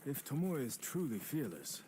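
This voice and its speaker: An actor playing an earnest young samurai in a game cutscene, speaking in a measured, reserved and respectful way. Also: male voice, mid-range voice, very animated, a little hiss or hum, natural voice, low-quality recording. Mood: calm. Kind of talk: video game cutscene acting. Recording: game audio with loud music behind.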